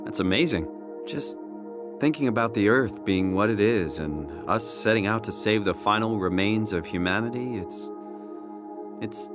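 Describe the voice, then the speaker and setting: A man's voice: lowish voice, normal speed, music in the background, close to an everyday voice. An actor voicing a questioning, earnest everyman hero in a video game, talking in a casual, wry way. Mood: awed. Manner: casual, inquisitive.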